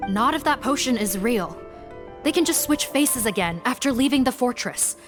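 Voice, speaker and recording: A woman's voice: fairly high pitch, expressive, moderate pace, close to an everyday voice. Actress as dutiful woman investigator, game voice, formal, cautious, weary, music behind. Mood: frustrated. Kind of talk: video game voice acting.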